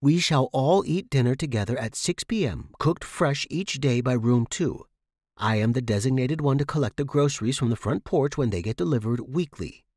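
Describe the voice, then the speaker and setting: A man voicing a stern, bossy landlady in audio fiction, giving brisk, clipped instructions. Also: mid-range voice, expressive, a little mellow, no echo, studio quality, natural voice. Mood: stern, exasperated. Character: stern, bossy woman landlady with strict house rules. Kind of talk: audio fiction character reading.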